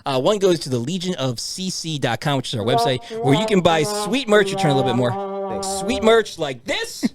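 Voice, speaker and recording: A man's voice: on the high side, big pitch swings, normal speed, over a noisy crowd. A man hosting a podcast in casual banter, with an upbeat show-intro delivery. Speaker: podcast host.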